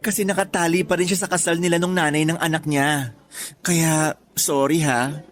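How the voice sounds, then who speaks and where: An actor voicing a woman who is a teasing, blunt office friend, with exaggerated, drawn-out delivery in a radio drama. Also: sits low, steady tone, faint music behind, natural voice. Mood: blunt, concerned. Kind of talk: Tagalog radio drama dialogue.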